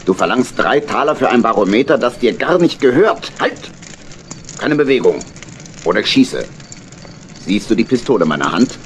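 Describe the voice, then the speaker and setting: An actor playing a shrewd, stern old gentleman badger in a children's audio drama, deliberate, probing and authoritative. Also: mid-range voice, animated, a little hiss or hum, close to an everyday voice. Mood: stern, threatening. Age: older. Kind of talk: 1970s-80s German children's audio drama acting.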